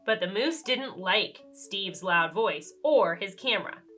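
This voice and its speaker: Woman, children's read-aloud host, bright tone, very lively intonation, storybook narration, soft music behind. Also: medium pitch, a little slow. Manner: expressive, addresses young listeners with questions.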